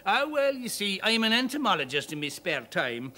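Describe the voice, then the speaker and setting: A man's voice: high-pitched, very animated, moderate pace, pushed and bright, pitched above the performer's own voice, exaggerated voice. A man putting on a chatty Irish bug hunter. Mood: cheerful. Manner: lilting, rambling.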